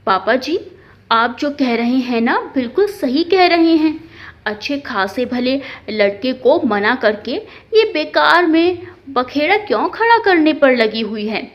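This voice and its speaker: The sound is a little dull and lo-fi. A woman performs a meddling sister-in-law from an audio family drama, high in pitch, needling and falsely agreeable as she stirs up trouble. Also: lively intonation, measured pace.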